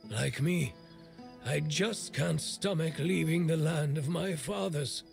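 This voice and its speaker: An actor playing a gruff, irreverent old smith in a video game, with a gravelly voice and a blunt, sardonic way of talking. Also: male voice, a little high, slow, soft background music. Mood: disdainful grumbling. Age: older. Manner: blunt, foul-mouthed, sardonic. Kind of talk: video game character dialogue.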